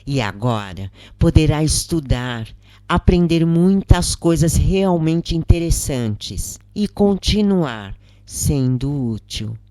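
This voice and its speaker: A Brazilian woman voicing a gentle, wise elderly mother in a story, soft, soothing and solemn. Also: sits low, expressive, takes it slowly, no echo. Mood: reassuring.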